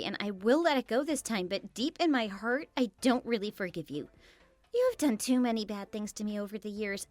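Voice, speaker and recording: A woman playing a wary wife who speaks in a measured, reproachful way. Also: medium pitch, no echo, natural voice. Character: wary, resentful wife of the husband.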